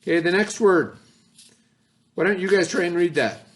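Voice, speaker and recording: A man teaching a class from home, voice sitting a little high for a man. He explains each word patiently with everyday examples and keeps checking that the kids follow. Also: normal speed. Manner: checks in often with "okay" and "right". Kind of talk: recorded classroom lesson for students at home.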